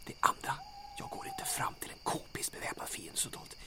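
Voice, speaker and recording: A man playing a nervous, reluctant soldier sidekick in a comic audio drama, wry and protesting. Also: natural voice. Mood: nervous, hushed. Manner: wry, protesting, often hissed whisper or yelled.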